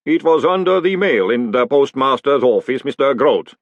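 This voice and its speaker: A man voicing a patient, literal-minded golem servant in an audiobook, speaking slowly, formally and politely. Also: mid-range voice, animated, no echo, dull audio, voice pushed up, close to an everyday voice. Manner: slow, deliberate, formal delivery. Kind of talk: audiobook character voice.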